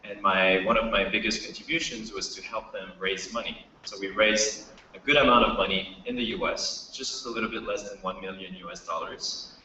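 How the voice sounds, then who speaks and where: A man's voice: on the low side, steady tone, normal speed, sharp tone, highs cut off, slight background noise. A man giving a casual startup talk to students, telling his own story. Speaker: startup co-founder speaker. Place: hall with a live audience. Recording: echoey hall, mic from far off.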